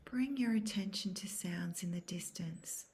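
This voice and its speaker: A woman with a low, mellow voice leads a guided meditation. She speaks very softly and breathily, close to a whisper. Her delivery is calm, soothing and unhurried, with long, measured instructions. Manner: soft, breathy, hushed, near-whispered delivery. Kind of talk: guided yoga nidra meditation, scripted.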